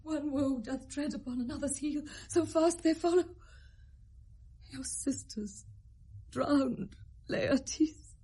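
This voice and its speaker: A woman's voice: sits high, slow pace, pauses often, warm tone. An actress with a British accent playing a stately, grief-laden middle-aged queen in a Shakespearean radio drama, speaking lyrically and tremulously. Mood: shocked grief. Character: sorrowful, stately middle-aged queen bearing tragic news.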